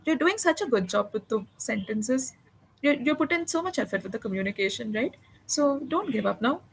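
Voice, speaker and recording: Woman, tutor, high voice, patient and encouraging, faint background noise. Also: lively intonation, moderate pace, vocal fry, rough recording. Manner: encouraging, patient, explains grammar step by step. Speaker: English tutor. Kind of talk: one-on-one spoken English lesson.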